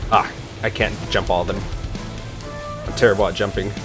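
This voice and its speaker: Man, fairly deep voice, casual gameplay commentary, unscripted musing, clear background game music. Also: steady tone, normal speed. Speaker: gaming streamer.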